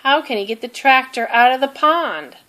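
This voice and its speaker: A woman narrating a children's storybook aloud in an expressive, deliberate way. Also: high-pitched. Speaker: storybook reader.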